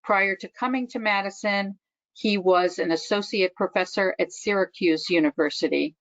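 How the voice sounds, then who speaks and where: A woman's voice: medium pitch, moderate pace, no room sound. Woman, webinar host, formal introduction, polite, dry close sound, lo-fi audio. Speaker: training coordinator and webinar host.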